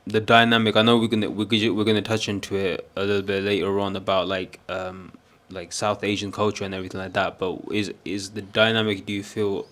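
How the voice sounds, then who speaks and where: A man hosting a casual podcast, talking haltingly with lots of fillers and some joking. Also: fairly low pitch, level tone, a bit bright. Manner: halting, many fillers and restarts, jokey. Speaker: podcast host.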